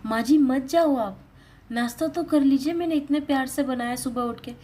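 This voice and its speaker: A woman's voice: high-pitched, normal speed, dark tone, voice pushed up, natural voice. A woman voicing a meek, dutiful young daughter-in-law in a dramatised story, respectful, pleading and easily hurt.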